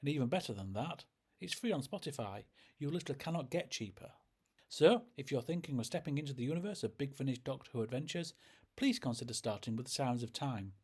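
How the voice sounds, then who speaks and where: A man hosting a scripted review, speaking in a measured, explanatory way. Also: mid-range voice, animated, a little mellow, breathy edge. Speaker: host and reviewer. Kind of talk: scripted narration, audio drama review.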